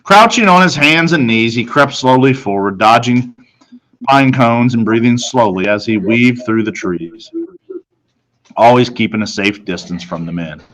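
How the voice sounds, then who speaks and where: A man narrating a children's novel as a reader, in a measured, suspenseful storytelling manner. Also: mid-range voice, a bit bright, slight background noise, poor audio.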